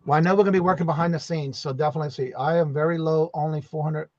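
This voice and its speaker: A man's voice: on the high side, fairly even intonation, racing, a little mellow, no room sound, lo-fi sound. A man hosting a casual live stream, chatty and full of fillers, saying y'all a lot. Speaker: host.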